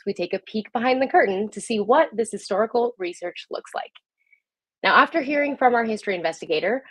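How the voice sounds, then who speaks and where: A woman's voice: on the high side, a bit bright, no room sound. A woman speaking as the host of a livestream show, introducing and interviewing.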